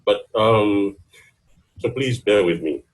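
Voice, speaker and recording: A man with a Fijian accent and a slightly shaky voice, giving an informal talk to an online gathering with hesitant pauses. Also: fairly low pitch, low-quality recording. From Fiji, Lau islands. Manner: hesitant, pausing mid-phrase. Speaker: guest speaker, university student. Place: online video meeting.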